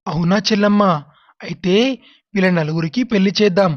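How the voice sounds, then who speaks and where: Someone playing a gentle caring dad in a cartoon, measured, kinda muffled dry recording. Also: medium pitch, steady tone, dry recording, natural voice. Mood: caring, serious. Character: caring father of two grown daughters. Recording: dull lo-fi, dry.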